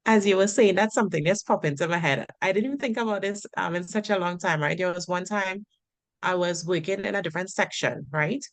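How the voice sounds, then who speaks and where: A woman hosting a casual interview and telling lively anecdotes, often checking in with "right?". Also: fairly low pitch, fairly quick, no room sound. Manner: anecdotal storyteller, tags sentences with "right?". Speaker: Spanish teacher and interview host.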